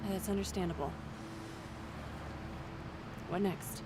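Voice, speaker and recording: A woman's voice: medium pitch, fairly even intonation, slow, very noisy, natural voice. A woman playing a firm, no-nonsense police officer in a film drama. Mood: calming.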